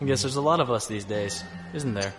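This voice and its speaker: An easygoing male starship captain played by a man in a science fiction audio drama. He talks in a casual, understated way at a middling pitch, and music plays clearly behind him. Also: brisk, close to an everyday voice. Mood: wry, gentle.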